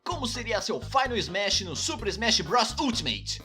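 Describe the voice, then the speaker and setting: A Brazilian woman voicing lively, cheeky fans who put questions to a cartoon character. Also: medium pitch, measured pace. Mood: curious, teasing. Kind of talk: comedic cartoon voice acting, reading fan questions. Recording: music behind the voice.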